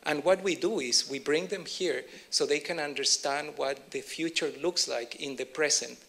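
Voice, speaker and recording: A man from Chile with a Chilean Spanish accent, giving a conference keynote in a measured way with many pauses and fillers. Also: a little high, vocal fry, fairly bright tone. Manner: measured, filler-heavy delivery. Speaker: keynote speaker.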